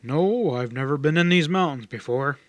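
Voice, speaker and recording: A man voicing a reserved, weary older gentleman in an audiobook, speaking in a measured, formal way. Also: on the high side, no echo, close to an everyday voice. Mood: musing, reserved. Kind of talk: audiobook character dialogue.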